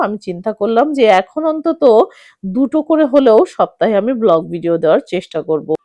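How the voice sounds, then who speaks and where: A woman's voice: a little high, a little mellow, dry recording. A woman in her thirties with a Bangladeshi accent, narrating her daily family life in a chatty voice-over that speaks straight to viewers. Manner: chatty, addresses viewers directly. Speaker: family vlogger.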